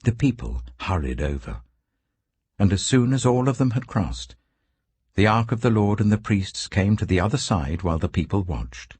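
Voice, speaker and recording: A man reading scripture as a scripted narration, in a solemn, measured storytelling manner with deliberate phrasing. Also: fairly low pitch, animated, a little mellow, breathy edge, dry recording. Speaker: Bible narrator.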